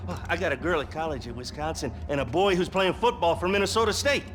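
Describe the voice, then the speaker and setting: An actor playing a friendly, nervous middle-aged retired cop in a video game, rambling on with a folksy American Midwestern accent. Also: male voice, a little high, fast, fairly bright tone, a little hiss or hum, natural voice. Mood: chatty, proud.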